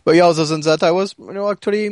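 A man's voice: fairly high pitch, rapid-fire, no room sound, studio quality. A man co-hosting a casual podcast, talking hesitantly and often dropping in "you know". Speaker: podcast co-host. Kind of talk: casual chat.

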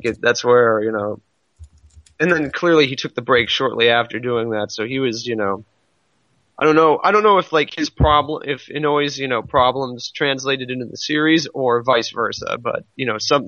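A man's voice: medium pitch, normal speed, a bit bright, no echo, highs cut off. A man in a casual podcast discussion of manga, rambling a little as he thinks aloud. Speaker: podcast co-host.

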